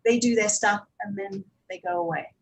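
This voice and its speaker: A woman's voice: mid-range voice, normal speed. A woman producer and director talking informally on a panel, in a conversational, hedging way.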